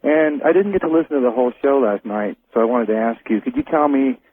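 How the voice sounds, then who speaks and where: A man's voice: mid-range voice, expressive, quick pace, slight background noise. An American man calling in to a radio show, casually putting questions to the host. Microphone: telephone. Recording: muffled narrow phone line on radio.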